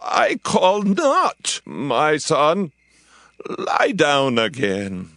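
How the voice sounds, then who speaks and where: Creaky old priest in a bible drama, weary and fatherly, high wavering pitch that swings around. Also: huge pitch swings, drawn-out words, a bit bright, no echo, exaggerated voice. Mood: gentle, weary.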